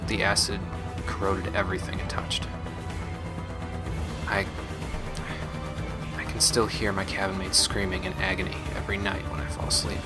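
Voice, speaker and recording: Low-voiced, haunted soldier played by a man. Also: lightly acted. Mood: haunted, bitter. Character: battle-scarred male soldier recounting a doomed mission, haunted, grimly resolute. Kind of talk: dramatic first-person audio-log narration.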